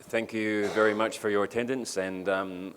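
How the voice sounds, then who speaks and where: A man's voice: fairly low pitch, steady tone, normal speed, fairly bright tone. A male club official moderating a press conference and answering a question in a loose way. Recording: distant reverberant room with faint noise.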